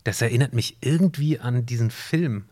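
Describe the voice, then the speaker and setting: Brief: man acting a sceptical, curious time-travelling buddy for a radio play, casual, questioning, medium pitch, dry. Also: normal speed, warm tone, no room sound, lightly acted. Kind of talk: amateur scripted audio drama.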